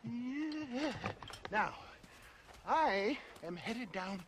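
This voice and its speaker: A man's voice: extremely high pitch, animated, extremely slow, noisy background, heavily acted. An actor playing a flamboyant, theatrical older con-man selling health tonics, with a chuckling, wheedling manner. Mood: theatrical scheming. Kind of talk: video game cutscene acting.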